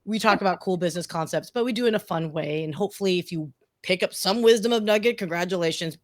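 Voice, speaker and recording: A woman's voice: fairly low pitch, fast talker, no room sound. A woman podcast guest talking casually and effusively, informal and self-deprecating, wandering off on tangents. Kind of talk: casual, chatty interview answers.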